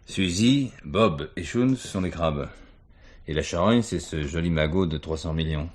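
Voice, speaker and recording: An actor playing a shrewd, authoritative police commissioner in a French radio crime drama, speaking in a commanding, deliberate way. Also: male voice, deep voice. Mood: confiding. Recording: noisy 1970s radio drama recording.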